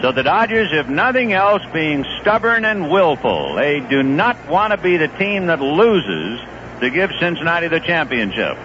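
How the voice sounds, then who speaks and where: A man calling baseball play-by-play on the radio in a conversational, lively manner. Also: on the high side, moderate pace. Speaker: play-by-play announcer. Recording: dull 1970s radio broadcast with hiss.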